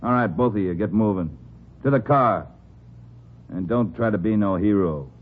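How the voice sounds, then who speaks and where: An actor playing a menacing, sarcastic American street thug who barks orders in rough slang in a 1950s radio crime drama. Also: male voice, lowish voice, lively intonation, moderate pace, lightly acted. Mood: bossy, threatening. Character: menacing Skid Row thug giving orders, sneering, sarcastic. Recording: tinny 1950s radio recording with noise.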